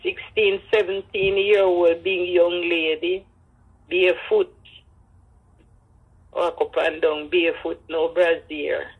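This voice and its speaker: A woman's voice: on the low side, little pitch movement, slow pace, long pauses, breathy edge, phone-quality sound. An older woman with a Jamaican accent telling personal stories in patois, acting out the dialogue. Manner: speaks in patois, acts out dialogue. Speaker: grandmother telling her life story. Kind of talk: personal storytelling.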